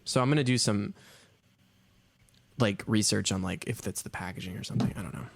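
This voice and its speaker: A man's voice: fairly low pitch, fairly quick, creaky, slight background noise. A man co-hosting a podcast, telling rambling casual stories with lots of fillers. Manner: rambling storyteller, heavy use of "like". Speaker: podcast co-host. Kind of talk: casual chat.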